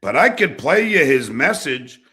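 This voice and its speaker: A man hosting an online show delivers an angry, profane rant at a rival, confrontational and emphatic, with dramatic pauses. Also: mid-range voice, very animated, sharp tone. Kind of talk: angry personal rant addressed to an absent rival.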